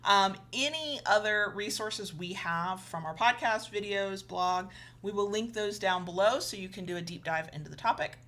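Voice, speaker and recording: A woman's voice: mid-range voice, moderate pace, sharp tone. A woman co-hosting an educational video, giving conversational advice to viewers in an emphatic, explanatory way. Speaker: co-host of an educational video show.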